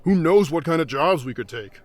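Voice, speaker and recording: A man's voice: on the high side, lively intonation, a little fast. A man acting a casual, upbeat adventurer in a fantasy audio drama, with a folksy manner.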